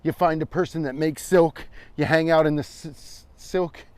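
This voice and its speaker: A man's voice: a little high, fairly even intonation, normal speed, a little mellow, smooth, no room sound. An American man, around his forties, chatting casually and irreverently as he hosts a rambling comedic walking vlog, swearing freely. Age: 40s. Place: outdoors, walking on a trail.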